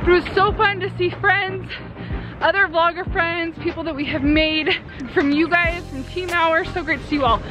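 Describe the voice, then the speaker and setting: A woman in her thirties vlogging with her family, upbeat and playful, her voice going hoarse. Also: very high-pitched, normal speed, low-quality recording. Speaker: vlogger mom. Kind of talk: casual family vlog. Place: convention hall and city street. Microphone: handheld camera at arm's length. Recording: lively crowd and music behind.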